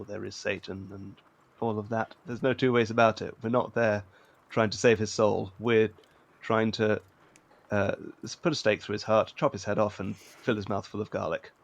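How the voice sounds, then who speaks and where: Slow, gravelly, low-voiced man. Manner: deliberate, emphatic, with pauses between phrases. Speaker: interview guest, actor. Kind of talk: conversational, thoughtful panel discussion.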